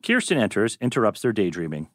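A man's voice: fairly low pitch, wildly swooping pitch, quick pace, no room sound, studio quality. A man reading stage directions as narrator at a script table read.

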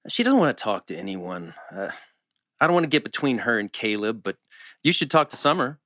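Man acting guarded teenage boy, terse, bright mid-range voice, TV drama read. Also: medium pitch, sing-song, brisk, no echo, studio quality. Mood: guarded, reassuring.

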